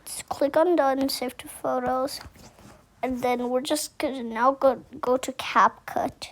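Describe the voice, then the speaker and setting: A woman giving a casual how-to tutorial, speaking haltingly and repeating herself as she thinks aloud. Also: high voice, fairly even intonation, poor audio. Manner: halting, repetitive, thinking aloud while demonstrating. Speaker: tutorial host.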